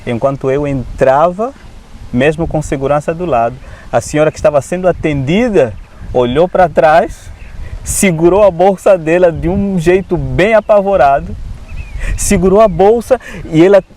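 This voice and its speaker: A man who is a pastor and Adventist preacher, speaking with a foreign accent as a non-native speaker, tells his personal testimony in an unhurried way, often adding tag questions. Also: on the high side, darkish tone. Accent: foreign accent in Portuguese. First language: not Portuguese. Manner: unhurried storytelling with frequent "né?" tags and light laughs.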